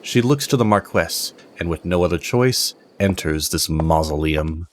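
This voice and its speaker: A man's voice: low voice, animated, measured pace, slight background noise. A man playing a solemn storyteller, giving dramatic scripted narration for a fantasy audio drama. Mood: measured, ominous.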